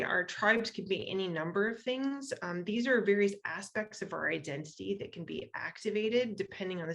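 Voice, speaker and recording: An American woman speaks as a guest lecturer, explaining ideas in a conversational way. Her voice sits a little on the low side and has a clear creak to it. She often checks in with her listeners through rhetorical questions. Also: a bit bright. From western Iowa, United States. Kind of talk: explanatory public talk.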